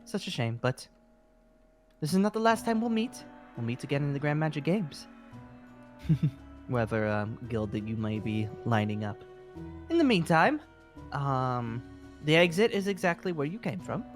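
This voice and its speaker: A man acts out an eager guild leader in a fantasy game roleplay. The delivery is lively and swooping, yet hesitant and apologetic, wandering through each explanation. Music plays softly underneath. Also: on the high side. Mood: gracious, rambling. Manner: hesitant, rambling, halting. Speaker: roleplay performer. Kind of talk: improvised Minecraft roleplay dialogue.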